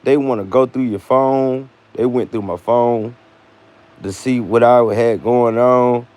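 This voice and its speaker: American male vlogger, casual storyteller, slangy and animated, mid-pitched voice. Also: unhurried, clean tone. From United States. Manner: animated retelling with slang and acted-out dialogue.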